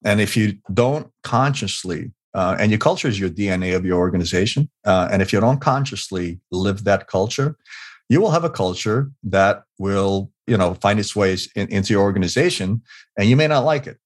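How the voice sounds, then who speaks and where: Low-voiced earnest man telling stories. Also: animated, moderate pace, no echo, clean studio sound. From Hungary. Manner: earnest, repeats words, frequent fillers. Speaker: guest, business author. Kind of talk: conversational interview storytelling.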